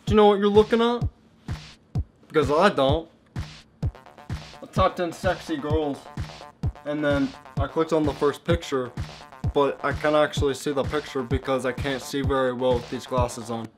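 A young man of eighteen speaks in a slightly high voice while vlogging to a camera in his bedroom. He rambles and brags in a goofy way. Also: fairly even intonation, moderate pace, pauses often, over music. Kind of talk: comedy vlog. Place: bedroom with gaming setup.

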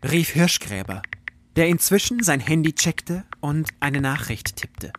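A man narrating a scripted audio drama in a measured, literary storytelling manner. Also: a little high, animated, fairly bright tone, slight background noise.

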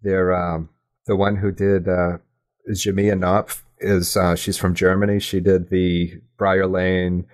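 A man speaking as a guest in a casual interview, halting and self-effacing as he thinks aloud. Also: sits low, little pitch movement. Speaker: podcast guest, audio drama creator.

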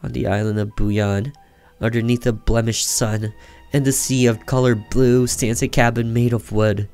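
A man's voice: mid-range voice, level tone, moderate pace, darkish tone, clear, resonant tone, lightly acted. A man acts out an earnest boy in a horror game, a protective older brother who is scared himself. His voice is raised a little, with faint music behind. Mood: fearful chanting.

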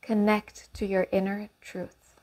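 Woman, meditation guide, slow pace, mid-range pitch, calm instruction, long pauses, affirmations.

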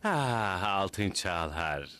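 A big, earnest cartoon superhero, low-pitched and grandiose. He speaks with bombastic, innocent reverence. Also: sing-song, very slow, bright, forceful tone, exaggerated voice. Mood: awed. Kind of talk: cartoon dub.

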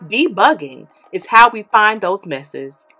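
A young woman, host of the channel, reading a children's picture book aloud in an expressive, animated way. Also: mid-range voice, slow, soft background music. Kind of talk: read-aloud storytelling. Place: indoor studio with plain orange backdrop.

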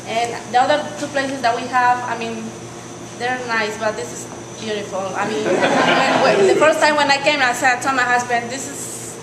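A woman business owner speaking plain, halting casual remarks in her small bakery. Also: fairly high pitch, reverberant, a loud crowd, very noisy. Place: small bakery with brick walls, indoors.

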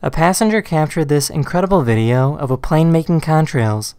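A man's voice: a little high, animated, brisk, darkish tone, clear, resonant tone, dry recording, faint music behind. A man hosting and narrating a compilation of short video clips in a scripted style.

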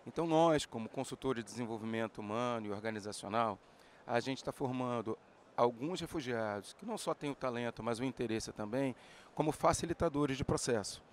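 Calm, steady male host in his forties, mid pitch, pitching a project on a handheld mic. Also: fairly quick, slight background noise. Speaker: panel host. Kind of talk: presenting talk. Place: large indoor event hall.

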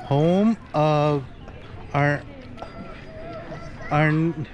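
A man giving casual, offhand commentary while walking a town street on a tour vlog. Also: a little high. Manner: slow, offhand remarks while strolling. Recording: outdoor camera audio with loud street noise. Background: loud street and traffic noise.

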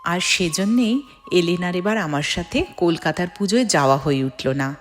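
A woman's voice: lowish voice, expressive, normal speed. A middle-aged woman narrates a personal vlog, addressing viewers as friends. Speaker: vlogger. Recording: music under the voice. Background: background music.

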